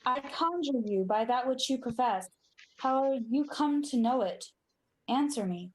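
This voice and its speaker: A woman's voice: high-pitched, animated, unhurried, poor audio. A woman playing a proud, demanding tyrant king, declaiming Shakespeare in a radio play.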